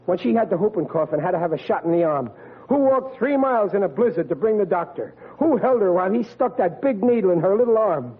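Excitable radio sitcom dad, tinny and animated. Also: male voice, sits high, quick pace, husky. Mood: aggrieved. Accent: American. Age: middle-aged. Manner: emphatic, excitable, folksy. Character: blustering, pleading, meddlesome working-class American dad. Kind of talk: 1940s radio sitcom acting. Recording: tinny, noisy old radio recording.

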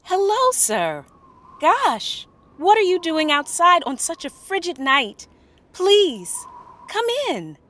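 A woman's voice: very high voice, huge pitch swings, slow, a bit bright, full character voice. A woman playing a kind, poor village dressmaker and mother in a children's story, welcoming and earnest. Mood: welcoming, concerned. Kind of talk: children's story podcast character acting.

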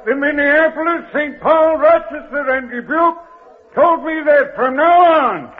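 A man acting a proud city mayor making a pompous public speech in old radio drama style. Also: extremely high pitch. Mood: proud, ceremonial. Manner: slow, declamatory, pompous. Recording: old lo-fi radio, noisy with crowd.

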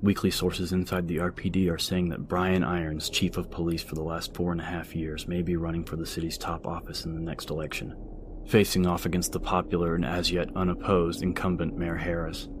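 Deep-voiced male narrator, even delivery. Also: brisk, background noise. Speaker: audiobook narrator. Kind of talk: scripted narration of newspaper reports. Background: music.

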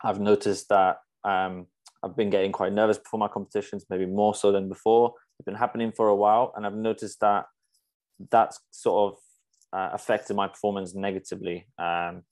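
A young man from Poland, Polish by first language, speaks with a very low, level voice that hardly rises or falls. He explains things slowly and carefully, stopping often to restart his sentences. He is a guest on a casual podcast. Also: little pitch movement, darkish tone. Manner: hesitant, many fillers and restarts. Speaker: sports psychologist guest.

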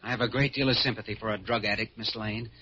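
A man's voice: fairly low pitch, fairly even intonation, quick pace, pushed and bright. A man playing an earnest, compassionate young hospital doctor with a persuasive manner in old-time radio drama. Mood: earnest, pleading. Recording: muffled, hissy vintage radio recording.

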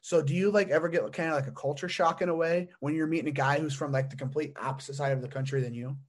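A young man interviews a guest in a relaxed podcast chat. His voice sits a bit high for a man. He winds through questions with "like" and "I mean", restarting as he goes. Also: fast talker. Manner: rambling questions full of fillers and restarts. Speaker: podcast host. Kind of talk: casual sports interview.

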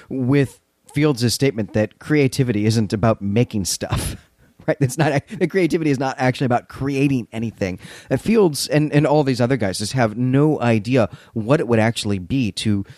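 A man's voice: medium pitch, darkish tone, dry recording, clean studio sound. A man co-hosting a podcast, conversationally recapping and analysing a story, explaining and rephrasing his points as he goes. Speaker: podcast co-host.

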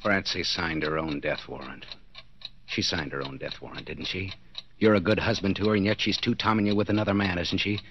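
Deep, gravelly brooding murderous husband. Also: male voice, fairly even intonation, a little fast, lo-fi sound. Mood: bitter, brooding. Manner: muttering, brooding self-talk in second person. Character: betrayed husband coldly plotting to murder his wife. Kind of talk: 1940s radio thriller acting. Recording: noisy vintage 1940s radio broadcast.

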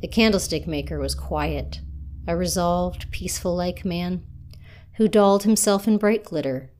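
Deep-voiced woman reading rhyming verse. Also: measured pace, a little mellow. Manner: lilting, sing-song reading of rhyming verse. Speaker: poet reading her own work. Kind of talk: poetry reading.

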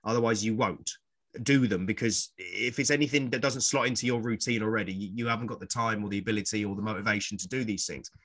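Fast-talking man in his forties. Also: lowish voice, a bit bright. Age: 40s. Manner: rambling, repeats words, acts out quoted voices. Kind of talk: casual podcast chat.

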